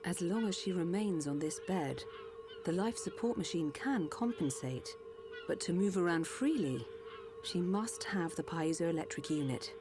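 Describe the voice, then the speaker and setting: An actress playing a calm, precise female Machina doctor explaining a treatment. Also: on the low side, unhurried. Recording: game cutscene with music.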